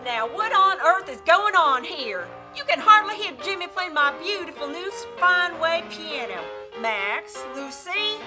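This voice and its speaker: A woman's voice: extremely high pitch, sing-song, a little slow, few pauses, exaggerated voice. A woman playing an indignant restaurant owner and protective mother in an amateur Western radio play, scolding and exasperated. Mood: puzzled, alarmed. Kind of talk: amateur old-time radio play acting. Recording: music behind the voice.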